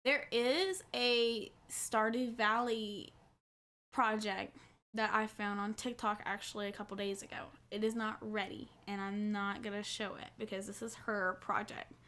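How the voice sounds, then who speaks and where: A woman's voice: mid-range voice, level tone, measured pace, sharp tone. A woman with a stuffy nose from a cold, chatting casually to camera from her couch at home and gushing, repeating herself. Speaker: cross-stitch vlogger.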